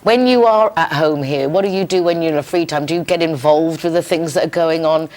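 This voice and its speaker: A woman's voice: low-pitched, fairly quick. A woman hosting an interview talk show, chatty and full of compliments for her guests. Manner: warm, effusive compliments. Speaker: TV show host.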